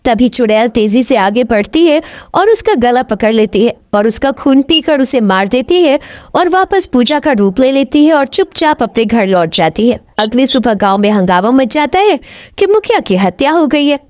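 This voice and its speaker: High, animated female storyteller. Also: normal speed, no echo. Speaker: narrator. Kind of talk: scripted storybook narration for a horror cartoon.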